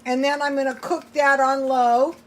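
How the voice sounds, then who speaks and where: A woman's voice: high voice, flat delivery, normal speed, slight background noise. A woman chatting casually to viewers while cooking at home, thinking aloud in a rambling, folksy way. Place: home kitchen.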